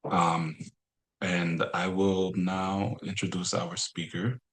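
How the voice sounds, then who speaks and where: Low-voiced man hosting a webinar. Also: little pitch movement, slow pace, fairly bright tone, poor audio. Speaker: webinar host, program director. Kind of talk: spoken introduction to a webinar. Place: remote webinar from New York.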